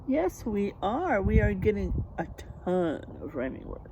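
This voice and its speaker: A woman reading a picture book aloud to young children in an expressive, playful manner, with drawn-out emphasis and chatty asides. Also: medium pitch, background noise. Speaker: read-aloud storyteller.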